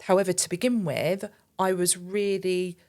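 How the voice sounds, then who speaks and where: A woman's voice: lowish voice, steady tone, moderate pace, darkish tone. A woman guest on a casual podcast conversation, talking earnestly and emphatically, often repeating words as she starts a thought. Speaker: podcast guest, marketing agency director.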